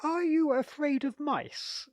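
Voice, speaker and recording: A man reading a story aloud, voicing a nervous, embarrassed young gentleman who speaks in a desperate, high-strung way. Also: takes it slowly, no room sound, clean studio sound. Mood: flustered.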